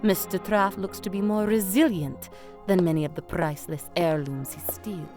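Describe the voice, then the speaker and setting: A woman's voice: on the low side, steady tone, normal speed, warm tone, faint music behind, natural voice. A woman playing a vain, condescending crime boss in an audio drama, silkily menacing and mock-polite. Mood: cold condescension.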